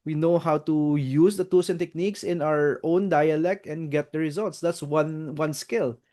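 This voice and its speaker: A man hosts the interview as a trainer, speaking confidently and steadily. His voice sits somewhat high for a man, and he pauses with "uh" and checks in with "right?". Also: fairly even intonation, mellow. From Philippines. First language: not English. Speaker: host, NLP master trainer. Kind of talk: conversational interview.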